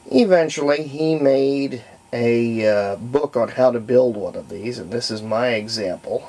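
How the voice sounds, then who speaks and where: A middle-aged American man giving a casual, unscripted show-and-tell as a hobbyist, in a halting, folksy way, often trailing off mid-phrase. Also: medium pitch, darkish tone. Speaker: hobbyist presenter demonstrating a homebuilt radio. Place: indoors at a cluttered hobby desk. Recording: faint background noise.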